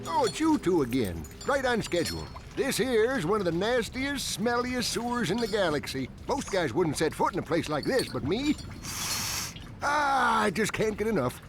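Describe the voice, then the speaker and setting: A folksy, uncouth plumber from a video game, high-voiced and easygoing. His cheerful lines leap up and down, with clear noise around him. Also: huge pitch swings, normal speed. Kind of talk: cartoonish video game acting. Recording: noisy ambience behind.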